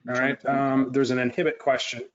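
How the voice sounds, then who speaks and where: A man's voice: medium pitch, flat delivery, fairly quick. Guy fielding tech questions on a stream, casual but careful, bit unsure, slightly dull audio. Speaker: expert co-presenter answering audience questions. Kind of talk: casual live webinar discussion. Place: livestreamed online session.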